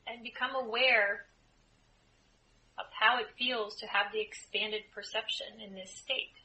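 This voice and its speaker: A woman with a very bright, clear-cut tone leads a guided meditation as a channeler. She speaks very slowly, in deliberate phrases separated by pauses. It is recorded live in a room, with some background noise. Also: a little high, fairly even intonation, poor audio. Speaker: spiritual channeler leading group meditation. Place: indoor room, live group setting.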